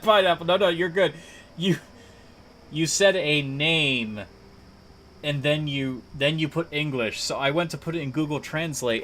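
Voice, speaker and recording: A man's voice: medium pitch, bright, forceful tone, a little hiss or hum. A man chatting casually and thinking aloud as he streams a video game, in a playful manner.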